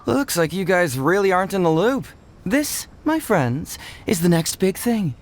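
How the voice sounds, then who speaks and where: A man's voice: high-pitched, very animated, a little slow. A man playing a brash, carefree young schemer in a video game, with a breezy, salesmanlike delivery. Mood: eager persuading. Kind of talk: English video game voice acting. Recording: game capture with faint background ambience.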